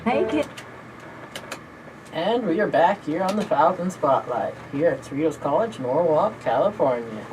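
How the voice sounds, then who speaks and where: Low-voiced woman radio host. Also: sing-song, normal speed, long pauses. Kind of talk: radio interview. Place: small radio studio. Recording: noisy background hiss.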